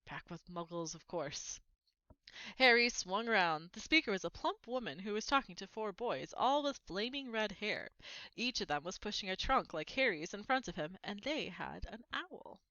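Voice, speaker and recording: A woman reading a children's story aloud as narrator, in an expressive storytelling style. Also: medium pitch, normal speed, no room sound, dull audio.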